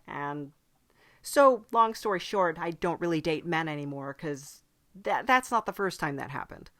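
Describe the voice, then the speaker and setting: A woman's voice: sits low, lively intonation, normal speed, fairly bright tone. A woman voicing a chatty demon from hell in an improvised comedy podcast, hesitant and politely earnest as she rambles. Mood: earnest, wistful. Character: chatty demon from hell who visits the human world. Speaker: podcast host. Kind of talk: improvised in-character comedy interview.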